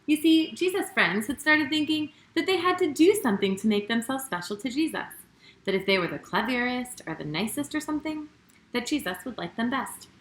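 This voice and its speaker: A woman pastor hosting storytime for young children, talking and reading aloud in an animated, sing-song manner straight to her listeners. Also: high-pitched, brisk, reverberant.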